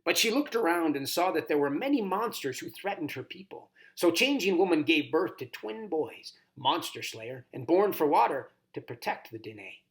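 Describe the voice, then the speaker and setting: A man voicing a kindly, formal horned toad mentor in a storybook, with a grumbly, muffled, croaky voice and courtly manners. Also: a little high, sing-song, normal speed, few pauses, pitched above the performer's own voice. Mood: solemn storytelling. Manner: courtly, old-fashioned, ceremonious phrasing.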